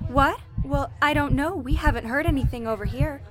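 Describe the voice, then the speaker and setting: A woman acting a high-pitched, harried switchboard operator. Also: moderate pace, close to an everyday voice. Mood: flustered, urgent. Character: harried young female switchboard operators, polite but flustered. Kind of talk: radio play acting, 1930s period drama.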